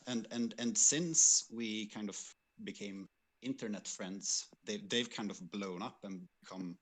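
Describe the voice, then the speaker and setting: A man co-hosting a livestream, talking informally and hesitantly as he recounts past work, repeating words while he searches. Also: fairly low pitch. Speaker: livestream co-host and game developer.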